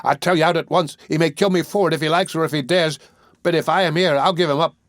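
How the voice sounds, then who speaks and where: A man's voice: fairly quick, no room sound, clean studio sound, lightly acted. A man voicing a terrified but defiant boy who shrieks for help in a storybook reading. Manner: shrill, frantic, shouting. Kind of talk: audiobook character voice.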